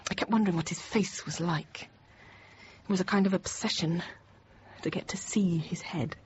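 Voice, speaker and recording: An actress playing an anxious, tender thirty-year-old infant teacher, confiding her account in halting, reflective phrases in a radio drama. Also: on the low side, darkish tone, natural voice. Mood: haunted, reflective. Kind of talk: radio drama monologue, intimate first-person narration. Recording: dull radio sound with faint noise.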